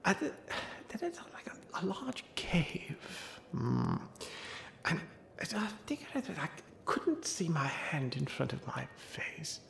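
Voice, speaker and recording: Old wizard, rambling and befuddled, halting mutter, wildly varied pitch, comic ASMR monologue, echoey with faint noise. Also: male voice, big pitch swings, vocal fry, fairly bright tone, heavily acted. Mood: befuddled reminiscing. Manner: mumbling, muttering, halting, trailing off into half-heard asides. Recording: roomy echo, faint background noise and ambience.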